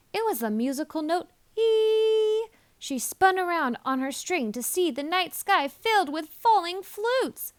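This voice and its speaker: A woman narrating a children's story expressively, leaning deliberately on key words. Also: sits high. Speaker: storybook reader.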